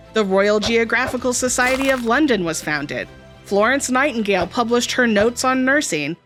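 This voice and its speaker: A woman narrates a scripted history lesson at an even, middling pitch, slipping in dry, wry asides. Her voice is close to the mic, with soft music under it. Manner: dry asides in a storytelling delivery. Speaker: educational video host.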